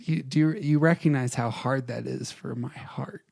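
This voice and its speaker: A man's voice: mid-range voice, steady tone, normal speed, creaky, a little mellow, dry recording. A man co-hosting a podcast in casual debate, bantering in a self-deprecating way. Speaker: podcast co-host.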